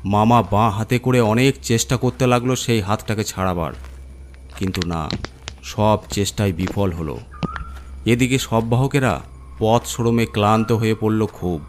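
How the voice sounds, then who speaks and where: A man with a low voice narrates a scripted ghost story in the role of storyteller. There is clear noise and ambient sound behind him. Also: a little slow.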